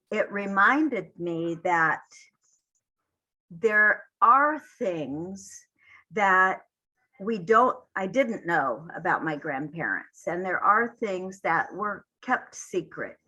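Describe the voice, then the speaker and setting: An older woman speaking as a panelist, reflecting in a halting way with many pauses. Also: mid-range voice, pushed and bright, rough recording.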